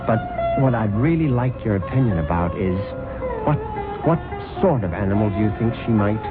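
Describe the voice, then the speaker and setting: A man's voice: lowish voice, expressive, moderate pace, lightly acted. An actor playing a nervous middle-aged widower who stammers as he narrates an old radio drama. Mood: hesitant. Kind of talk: 1970s radio drama first-person narration. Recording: tinny old radio broadcast with music.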